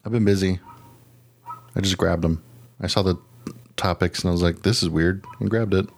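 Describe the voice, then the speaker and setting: A man with a low voice co-hosts a podcast in casual conversation. He rambles along in loose slang and drops swear words casually. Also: fairly even intonation, normal speed, gravelly. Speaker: podcast co-host. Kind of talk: casual chat.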